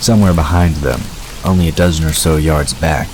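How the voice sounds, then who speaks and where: A man's voice: very deep voice, steady tone, a little fast. A man reading scripted story narration for an audio drama. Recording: noisy, rain-like hiss behind.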